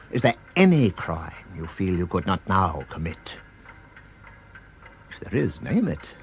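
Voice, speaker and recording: An actor playing a sinister, persuasive tempter in a radio drama, taunting and relentless, with an insistent theatrical delivery full of probing questions. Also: male voice, on the low side, slight background noise. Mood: accusing, taunting. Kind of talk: dramatic British radio play acting.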